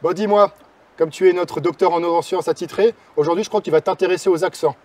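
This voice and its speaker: A man's voice: sits high, fairly even intonation, darkish tone. A man hosting a web show, narrating an intro and chatting casually with a guest. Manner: brisk, teasing. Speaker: show host. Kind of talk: scripted narration and casual chat.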